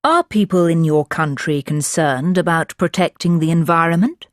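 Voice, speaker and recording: A woman with a fairly low voice reads speaking-test questions in a clear, formal way. The recording is dry and close, like studio course audio. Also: moderate pace, no echo. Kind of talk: scripted exam questions.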